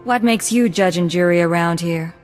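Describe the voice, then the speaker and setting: A woman's voice: lowish voice, normal speed, faint music behind, close to an everyday voice. An actress playing a cool, sardonic female secret agent with dry, cutting replies in a game cutscene. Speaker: undercover imperial agent. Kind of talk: video game cutscene acting.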